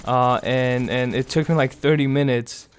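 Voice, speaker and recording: A young man speaking quietly and weakly in casual gameplay commentary, his voice raspy and strained after throat surgery, pausing often. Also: medium pitch, fairly even intonation. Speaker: gaming commentator.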